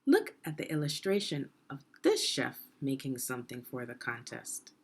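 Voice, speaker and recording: A woman reading a children's story aloud in a deliberate, expressive teaching manner, putting questions to the listener. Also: extremely low pitch, breathy edge. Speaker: education consultant reading a children's book aloud.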